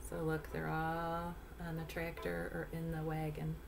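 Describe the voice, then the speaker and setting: A woman in her forties reading a picture book aloud to young children indoors, pausing to explain words. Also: low-pitched, monotone, vocal fry, background noise. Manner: explains words and rhymes to listeners. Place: small indoor room at home.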